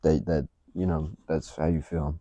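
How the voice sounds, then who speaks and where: A man's voice: a bass voice, level tone, normal speed, mellow, no echo. A man talking as a guest in a casual chat, listening sympathetically and stammering into his replies. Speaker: podcast guest.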